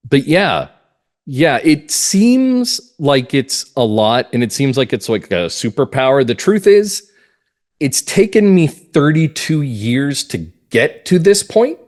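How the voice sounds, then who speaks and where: A man's voice: on the high side, very animated, slow pace, a little mellow. A man speaking as an interview guest, explaining things earnestly and emphatically in a conversational way. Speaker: guest playwright.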